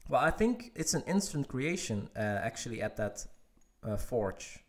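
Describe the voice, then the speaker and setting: A man's voice: medium pitch, very animated, unhurried. Man answering stream chat. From Netherlands. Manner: answers chat questions while playing, hesitant with many fillers. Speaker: game streamer. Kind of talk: casual livestream Q&A.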